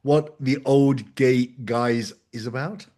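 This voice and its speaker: A man with an average-pitched voice works as an interviewer. He puts his questions in a casual, conversational style. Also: fairly even intonation, takes it slowly, dark tone.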